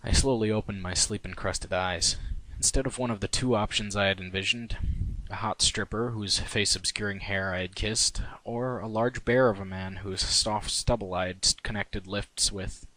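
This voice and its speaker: A man's voice: lowish voice, fairly even intonation, normal speed, hardly any pauses, pushed and bright, a little hiss or hum, poor audio. A man reading his own first-person fan fiction in a wry, conversational way. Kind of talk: first-person story narration.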